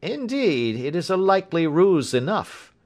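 A man voicing a hearty, excitable police inspector in a story reading, brisk, confident and emphatic. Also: fairly high pitch, voice pushed up. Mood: confident, pleased. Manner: emphatic, exclamatory.

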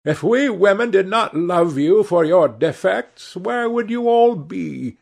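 A man's voice: sits high, lively intonation, slow pace, creaky, voice pushed up, exaggerated voice. A man voicing a chatty older society hostess in a storybook reading, theatrical and exclamatory. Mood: chiding.

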